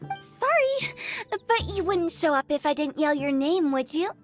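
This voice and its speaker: A woman's voice: high voice, big pitch swings, a little slow. A woman voicing a playful, cheeky young funeral parlor director in a game, perky and teasing. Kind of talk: animated game cutscene voice acting. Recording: music under the voice.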